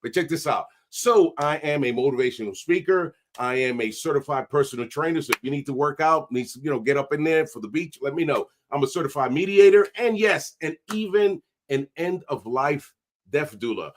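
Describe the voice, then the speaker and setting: A man, hosting a podcast with energetic, streetwise talk sprinkled with Spanish. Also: on the high side, fairly quick, a little hiss or hum. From New York. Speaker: podcast host. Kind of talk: casual interview chat.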